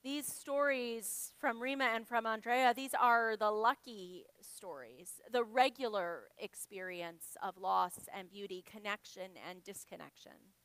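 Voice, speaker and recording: A woman minister giving a reflective sermon, speaking in a measured, unhurried way. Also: medium pitch, fairly bright tone, clear, resonant tone, dry recording. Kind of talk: reflective sermon reading from congregants' writings.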